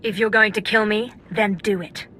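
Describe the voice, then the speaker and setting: A woman's voice: mid-range voice, fairly even intonation, moderate pace, fairly bright tone, a little hiss or hum, lightly acted. An actress voicing a defiant young female Sith acolyte who talks coolly. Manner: cool, defiant.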